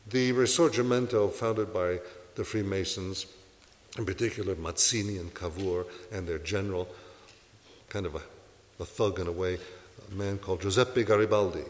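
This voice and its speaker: Earnest low-voiced man preaching. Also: steady tone, normal speed, in a large hall. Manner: earnest, exhorting, asks rhetorical questions. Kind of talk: sermon to a congregation.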